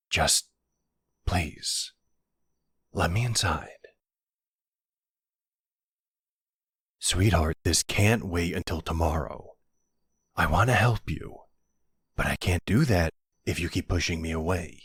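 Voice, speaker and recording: Husky, low-voiced worried boyfriend, played by a man. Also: lively intonation, a little slow, pauses often, fairly bright tone, no room sound, clean studio sound, full character voice. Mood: worried, pleading. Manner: earnest, pleading, exasperated. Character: worried, insistent boyfriend pleading with his partner. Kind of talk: boyfriend roleplay audio drama.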